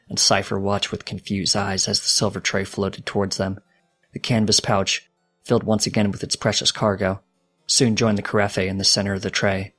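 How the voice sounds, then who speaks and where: A man reading fiction aloud as a dramatic, solemn narrator with a deliberate delivery. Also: on the low side, a bit bright, slightly rough. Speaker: fanfiction narrator.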